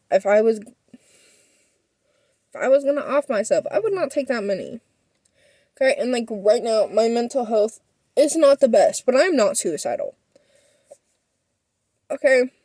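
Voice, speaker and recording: A woman's voice: medium pitch, dark tone, no room sound, studio quality. A young woman telling a personal story as a vlogger, rambling and defensive with many fillers, sniffling.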